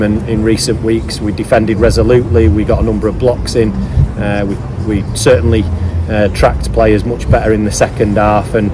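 A man's voice: on the low side, background noise. A middle-aged man, a football manager, giving a candid post-match interview outdoors at a stadium, thoughtful with many fillers and restarts.

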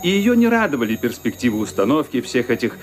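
A man narrating a radio play in a measured storytelling manner. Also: mid-range voice, very animated.

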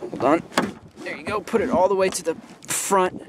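A man's voice: high voice, moderate pace, heavy background noise. Casual young man demoing a kayak rig. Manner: casual, explanatory, with fillers and restarts. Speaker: DIY video maker. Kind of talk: instructional voice-over and on-water demo. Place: outdoors on a lake in a kayak.

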